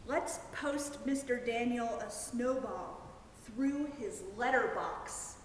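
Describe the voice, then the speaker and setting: A woman's voice: fairly high pitch, slow, a little hiss or hum. A woman playing a curious, cheeky young boy with eager, childlike questions in a staged reading. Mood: mischievous. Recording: echoey hall from far off.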